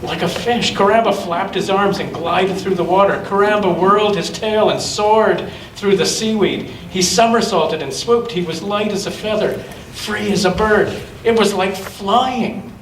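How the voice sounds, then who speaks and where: A man reading a children's story aloud with expression, in measured phrases and with chatty asides to young listeners. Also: high-pitched, a little hiss or hum. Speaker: storyteller at a church gathering. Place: large indoor church room. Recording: roomy church recording, distant mic.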